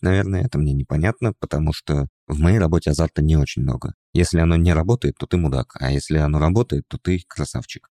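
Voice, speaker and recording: A man with an extremely deep, dark voice, chatting casually as a podcast co-host. He talks in a wry, joking way with mock-exasperated asides. The sound is close and dry. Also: no echo. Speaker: podcast co-host and frontend developer.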